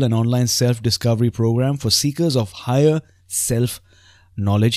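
A man's voice: mid-range voice, darkish tone, no echo, clean studio sound. A man hosting a podcast, speaking earnestly and emphatically straight to his listeners. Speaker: podcast host. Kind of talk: upbeat podcast hosting and reading book excerpts aloud.

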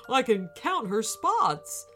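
A woman voicing a big, tearful, soft-hearted male crocodile in a children's story, exclaiming with emotion. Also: sits high, big pitch swings, drawn-out words, soft background music, pitched above the performer's own voice, exaggerated voice. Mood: delighted wonder.

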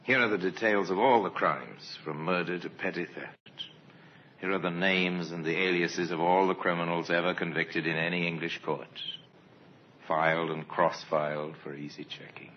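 A man acting as a grave male narrator of an old radio crime series, with an extremely deep voice. He tells it deliberately and portentously. The recording is dull and clearly noisy. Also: steady tone, lightly acted. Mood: grave, measured.

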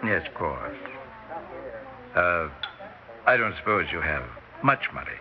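A flattering male swindler of the theater, grandiose and persuasive, with a low voice that swoops expressively. The 1960s radio drama recording sounds dull. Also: slow, background noise, full character voice. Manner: grandiose, persuasive, falsely reluctant. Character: smooth-talking, flattering male theatrical con man.

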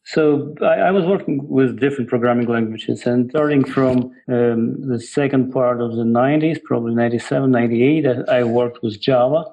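Gravelly middle-aged man with Eastern European accent. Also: medium pitch, normal speed, few pauses, warm tone, muffled recording. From Ukraine. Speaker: guest, software developer. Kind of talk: casual interview answers.

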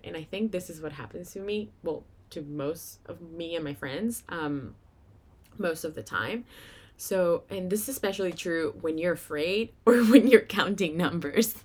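A young woman with a Venezuelan Spanish accent, a native Spanish speaker, talking casually as a podcast host in a chatty, giggly, informal way. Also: lowish voice. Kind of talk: casual solo podcast monologue.

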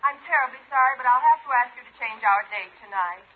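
An actress playing a polite, apologetic sweetheart on a telephone call in a 1950s radio sitcom. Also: female voice, sits high, fast, breathy edge. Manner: courteous, gentle firmness. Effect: telephone filter. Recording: thin phone-filtered 1950s radio recording.

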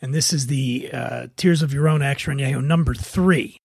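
A man's voice: medium pitch, brisk, gravelly, darkish tone, slightly rough, no room sound, studio quality. A man hosting a podcast, giving a semi-scripted review in a conversational way with wry, joking asides. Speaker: podcast host reviewing spirits.

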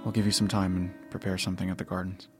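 A man's voice: low voice, completely flat delivery, fast talker, soft background music, natural voice. A man playing a composed, protective survivor in an audio drama, with a terse, practical manner. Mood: gentle, steady. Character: John, composed, protective male survivor giving orders.

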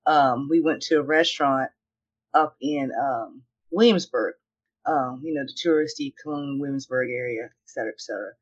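A woman's voice: extremely low pitch, moderate pace, slightly rough, muffled recording. A middle-aged woman co-hosting a podcast, telling anecdotes in a chatty, emphatic and opinionated way. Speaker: podcast co-host. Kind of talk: casual conversation.

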